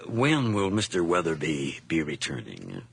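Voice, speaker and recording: An actor playing a calm, measured police officer asking probing questions in a radio drama. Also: male voice, rumbling low, gravelly, husky, no echo, full character voice.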